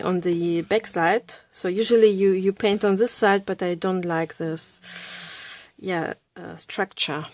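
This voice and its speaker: Slow, hesitant woman with an accent. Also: medium pitch, no room sound. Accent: Russian and Bavarian German. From Russia. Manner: hesitant, many fillers, giggly; drops to a soft near-whisper while coloring. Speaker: art tutorial creator. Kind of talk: casual drawing tutorial.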